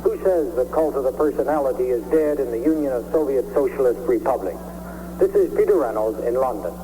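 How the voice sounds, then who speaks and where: A man's voice: medium pitch, animated, a little fast, a little hiss or hum. A man filing a scripted, descriptive dispatch as a foreign correspondent for 1960s radio. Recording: reverberant, humming 1960s radio relay.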